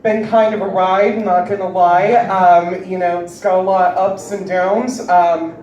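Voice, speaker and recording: A woman with a deep voice doing a stand-up comedy set with a wry, deadpan delivery, heard on stage before a live audience. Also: a little slow, highs cut off, slight background noise. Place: large indoor venue with live audience. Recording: echoey hall recorded from far off, loud crowd. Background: audience cheering and laughing.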